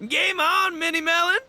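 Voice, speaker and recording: A boisterous young gang leader from a video game. His voice is bright and forceful, with big swings in pitch. He talks in slang with theatrical, cocky swagger. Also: full character voice. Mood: cocky, teasing. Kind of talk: animated video game acting.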